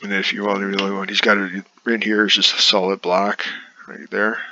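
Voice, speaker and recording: A man with a cold, a bit congested, casually talking through an inking demonstration as he works, with soft muttered asides. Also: on the low side, fairly quick, muffled recording. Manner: relaxed, talks while working, soft asides. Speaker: comic artist demonstrating inking.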